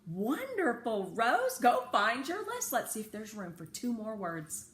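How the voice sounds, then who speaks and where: A woman's voice: on the high side, huge pitch swings, measured pace. A woman voicing a kindly, enthusiastic storybook uncle with emphatic, encouraging exclamations. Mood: delighted. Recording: echoey room with faint background noise.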